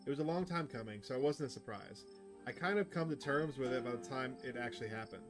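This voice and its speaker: A man's voice: medium pitch, animated, fast, over music, close to an everyday voice. A man voicing an awkward, sympathetic newcomer, the protagonist of a visual novel, reading the dialogue aloud. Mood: subdued.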